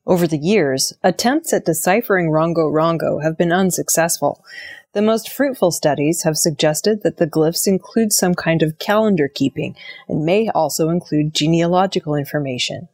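Low-pitched woman reading scripted news, dry sound. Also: a little mellow, no room sound. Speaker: news reader.

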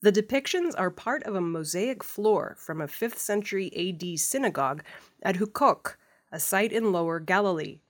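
A woman's voice: medium pitch, lively intonation, moderate pace. A woman reading scripted news stories as the presenter of an audio news programme. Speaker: news reader.

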